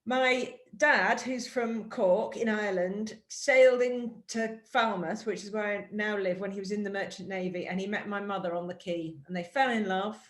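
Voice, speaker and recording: A woman's voice: medium pitch, fairly even intonation, normal speed, few pauses, echoey room. An English woman with a mixed Yorkshire and Cornish accent, chatting as an author on a video call and talking in a reflective, rambling way. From England. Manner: chatty, reflective, with many fillers and restarts. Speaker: author and interview guest. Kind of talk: casual conversation over video call.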